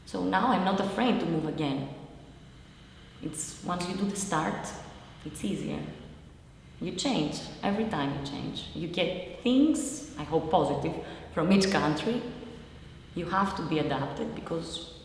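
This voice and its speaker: A woman's voice: on the low side, expressive, a little slow, vocal fry. A young woman with a Greek accent, a native Greek speaker, giving a reflective personal account in simple, searching non-native English. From Greece. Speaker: interviewee, immigrant living in Italy. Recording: very echoey reverberant hall, faint noise.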